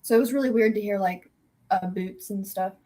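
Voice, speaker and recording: A woman's voice: mid-range voice, a little fast, mellow. Young woman rambling with "like". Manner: rambling, with many fillers of "like". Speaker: guest. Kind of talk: casual storytelling.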